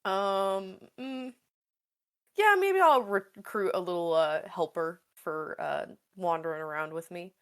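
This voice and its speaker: A woman's voice: on the low side, takes it slowly, clean tone. A woman chatting informally as a player in a tabletop roleplaying game, improvising in a hesitant, rambling way. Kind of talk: casual improvised roleplay chat.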